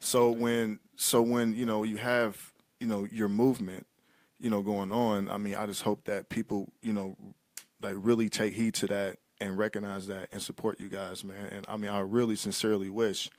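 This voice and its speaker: A man hosting a casual interview, speaking sincerely and a little haltingly, often repeating his phrases. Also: lowish voice, flat delivery, darkish tone, dry recording. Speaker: talk show host.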